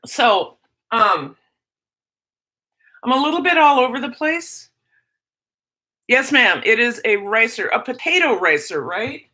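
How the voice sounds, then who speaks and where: Chatty Minnesotan woman, bright voice. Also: a little high, very animated, unhurried, long pauses. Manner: chatty, rambling, self-interrupting. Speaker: teacher and livestream host. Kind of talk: casual livestream chat, reading viewer comments.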